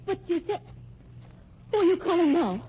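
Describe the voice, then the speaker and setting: An actress playing a discontented, defiant but frightened gold-digging American wife in a vintage radio drama, with dramatic, complaining delivery. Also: female voice, very high-pitched, very animated, normal speed, dark tone. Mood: suspicious, alarmed. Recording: muffled, noisy old radio recording.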